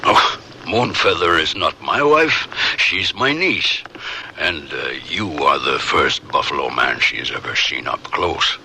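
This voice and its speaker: An actor playing a proud, teasing older Shoshone man in a radio drama, speaking in deliberate, formal phrases. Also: very deep voice, animated, pushed and bright, slight background noise, exaggerated voice.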